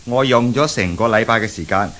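Man acting a keen mid-pitched radio-play storyteller. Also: a little fast, a bit bright, natural voice. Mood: eager. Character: keen, well-prepared American storyteller man. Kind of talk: 1970s Cantonese radio drama acting. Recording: hissy, noisy 1970s radio recording.

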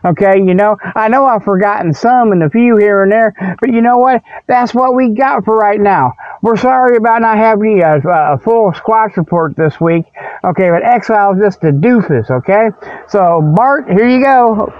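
A man's voice: high-pitched, normal speed, dry recording. A man hosting a comedy radio show, ad-libbing spoof announcements and mock ads in a folksy, rambling, jokey way. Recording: dull, dry lo-fi audio.

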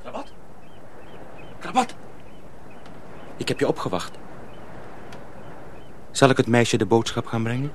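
An actor playing a loyal, secretive mill-hand friend in a radio drama. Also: male voice, mid-range voice, very animated, measured pace, pauses often, a little hiss or hum. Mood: furtive, eager.

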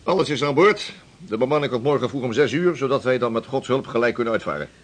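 A curt ship's officer of centuries past, played by a man in a radio drama. He talks quickly and businesslike, with lively swings in pitch. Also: lightly acted. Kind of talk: historical radio play acting.

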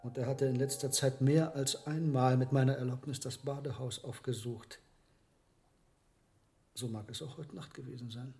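An actor playing a sober, learned monk herbalist in a radio drama, speaking in a deliberate, matter-of-fact way. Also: male voice, medium pitch, steady tone, a little mellow, soft background music, close to an everyday voice. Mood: sober, thoughtful.

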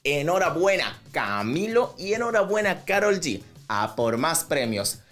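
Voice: mid-range voice, sing-song, a little slow, a bit bright. Una voz de radio de tono medio que presenta noticias de farándula y patrocinios en un programa matinal, hablando con cercanía al público. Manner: chatty, conversational asides to co-host and audience. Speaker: radio host. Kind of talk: entertainment news and sponsor reads on a morning radio show. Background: background music.

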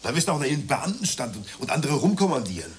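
A man's voice: mid-range voice, big pitch swings, fast, a little hiss or hum, lightly acted. An actor playing a bitter, jealous working-class man in a radio play, scornful and ranting with emphatic delivery. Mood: aggrieved, reproachful. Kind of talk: naturalistic radio play dialogue.

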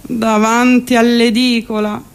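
High-pitched Roman woman performing a monologue. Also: flat delivery, slow, clear, resonant tone. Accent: Roman Italian. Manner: theatrical, colloquial, exasperated, foul-mouthed delivery. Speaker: stage actor performing a monologue. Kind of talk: dramatic comic monologue, first-person narration.

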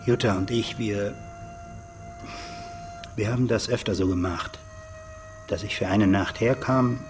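An actor playing a self-important, combative middle-aged politician in a radio drama, with pompous, declamatory delivery. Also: male voice, fairly low pitch, a little slow, over music, natural voice. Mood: rattled. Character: self-important married male nationalist politician, combative and aggrieved. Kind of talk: German radio drama acting.

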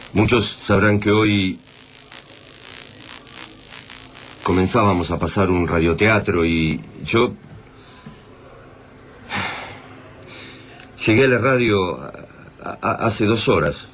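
An Argentine man playing a bewildered radio presenter struggling to keep calm, halting, stammering and earnest. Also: on the low side, a little hiss or hum. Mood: stunned. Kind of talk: halting, improvised-sounding radio drama acting.

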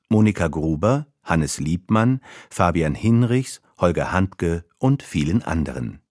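A man's voice: sits low, darkish tone, no room sound, clean studio sound. A man reading scripted title and credit announcements for a radio drama.

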